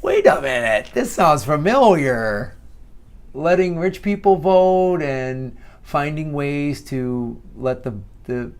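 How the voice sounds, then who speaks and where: A man's voice: a little high, very animated, slow. A man with a Midwestern accent giving a casual, sarcastic commentary on history as he reads from an article. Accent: Midwestern American. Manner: chatty, sarcastic asides with laughs. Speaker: comedian and political commentator. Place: outdoors in Hawaii.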